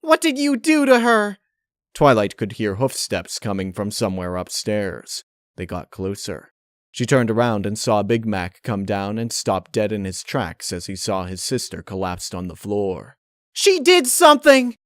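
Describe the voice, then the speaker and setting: Low-voiced male storyteller, close and dry. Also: fairly even intonation, moderate pace, no room sound. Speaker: fan fiction reader. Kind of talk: dark audiobook-style narration.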